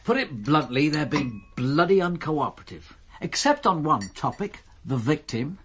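A man's voice: a little high, sing-song, normal speed, fairly bright tone, slight background noise. A man playing a blunt, dry-witted police detective in a radio drama. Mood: wry.

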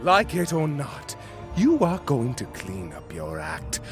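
A man's voice: medium pitch, wildly swooping pitch, slow pace, soft background music. A man voicing a smug, pompous fairy king villain in an anime parody dub, with a theatrical manner. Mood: indignant.

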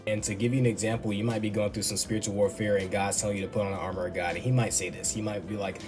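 A man with a lowish voice gives an upbeat faith talk at a rapid clip. He speaks into a phone held close in an indoor room, with music playing clearly behind him. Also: fairly even intonation. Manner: quick, enthusiastic, conversational. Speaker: Bible teacher. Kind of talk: casual devotional teaching. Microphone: phone camera up close. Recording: background music under voice.

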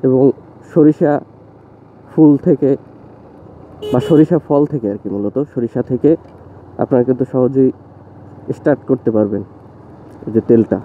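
A young man talks loosely and opinionatedly while riding a motorbike along village roads. His voice sits at an ordinary middle pitch. It comes through a thin, phone-like bike-mounted recording with faint traffic and wind noise behind. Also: moderate pace, long pauses. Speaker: motovlogger. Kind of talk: casual talk to camera while riding. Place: outdoors on a motorbike on rural roads.

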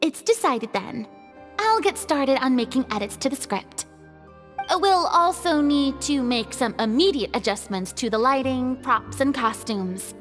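A woman's voice: sits high, animated, a little slow, in a large hall, soft background music. A woman voicing a theatrical, commanding young film director in a video game, with dramatic, grand delivery. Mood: confident, instructive.

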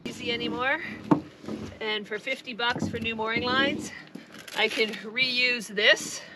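A woman's voice: on the high side, fairly even intonation, measured pace, very noisy. A woman in her forties chatting casually and bluntly to an action camera on a boat deck while doing chores. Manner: frank, blunt and joking. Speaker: boat-living vlogger. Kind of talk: casual vlog chat. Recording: music bed under the voice.

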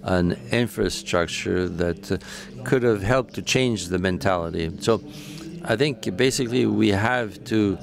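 A man giving live interpretation of a panel discussion, halting, with frequent fillers and self-corrections. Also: lowish voice, vocal fry, crowd noise. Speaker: simultaneous interpreter.

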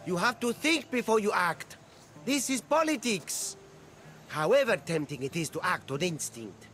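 Animated, high-pitched Greek street vendor. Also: male voice, moderate pace, faint music behind. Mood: earnest. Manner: earnest, cautioning. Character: earnest, cautious ancient Greek street vendor, male. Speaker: non-player game character. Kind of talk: scripted game dialogue.

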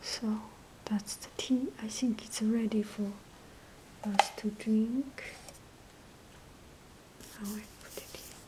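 Woman vlogger, breathy half-whisper, low energy, slow, flat delivery. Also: low-quality recording. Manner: hesitant, many pauses and fillers. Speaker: tea vlogger. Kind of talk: casual show-and-tell narration. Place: indoors at a table, off camera.

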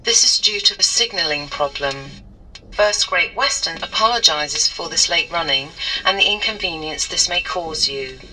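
A woman with a British accent making formal, measured station announcements, phrase by phrase. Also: on the low side, pushed and bright, recorded from a distance, faint music behind, low-quality recording. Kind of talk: recorded public announcements.

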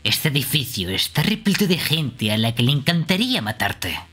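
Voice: mid-range voice, big pitch swings, normal speed, a bit bright, husky, faint music behind. A performer voicing a brash, foul-mouthed young male killer in a horror game, blunt and aggressive. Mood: threatening.

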